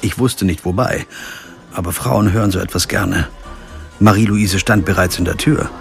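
An actor playing a wry, weary lawyer in a radio crime drama, narrating and speaking in a dry, laconic way. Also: male voice, sits low, normal speed, creaky. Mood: wry, reflective. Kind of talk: first-person radio drama narration and dialogue. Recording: music behind the voice.

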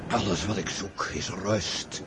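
An actor playing a proud, weary and grandiose emperor in a radio drama, declaiming imperiously. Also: male voice, unhurried. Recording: radio play with loud battlefield rumble behind.

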